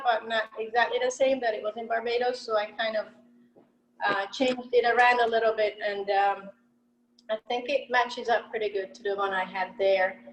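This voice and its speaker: A woman's voice: fairly high pitch, echoey room, rough recording. A middle-aged woman from Hungary presenting her baked goods in a casual, hesitant way, with frequent fillers. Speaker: baker presenting her pastries.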